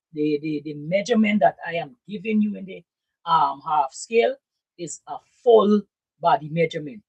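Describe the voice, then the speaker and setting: A husky, hoarse woman talks through a casual live sewing lesson, filmed on a camera set in front of her. She repeats herself and keeps asking "right?" The room sounds dry and close. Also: fairly low pitch, no echo, rough recording. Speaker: sewing and pattern-drafting teacher.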